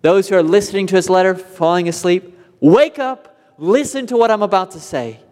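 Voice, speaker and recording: A man's voice: high voice, normal speed, darkish tone. A man preaching an expository sermon, explaining in an earnest way and rising in emphasis on key points. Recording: long hall reverb.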